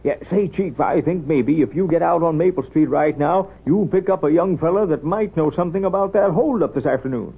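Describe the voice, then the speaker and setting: An actor playing a wry, kindly small-town doctor with a folksy, unhurried manner in a 1930s radio drama. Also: male voice, on the high side, gravelly, husky. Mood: troubled. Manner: folksy, wry, unhurried, hesitant drawn-out asides. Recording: thin, tinny 1930s radio recording.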